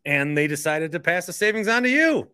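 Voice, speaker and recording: A man's voice: fairly high pitch, very animated, brisk, pushed and bright, clear, resonant tone, no echo, clean studio sound. An American man hosting a podcast, talking in a chatty, emphatic, informal way in a casual solo monologue. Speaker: podcast host and stadium announcer.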